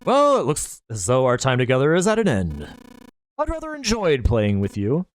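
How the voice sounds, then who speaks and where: A man's voice: medium pitch, wildly swooping pitch, normal speed, voice pushed up, rough recording. A man voicing a cruel, genteel middle-aged male villain who talks in a mocking, overly polite way. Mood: cold. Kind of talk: audiobook character voice.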